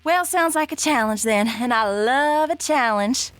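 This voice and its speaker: A woman's voice: fairly high pitch, expressive, unhurried, fairly bright tone, no echo. A woman playing a brash, playful space adventurer in an audio drama, with a teasing, breezy manner. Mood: eager.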